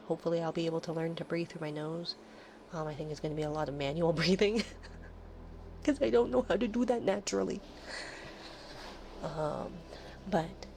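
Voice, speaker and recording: A woman with a nasal voice chatting casually on a late-night livestream, slipping into soft whispers between easygoing remarks. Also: deep voice, slight background noise. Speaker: livestream host. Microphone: streaming microphone close up.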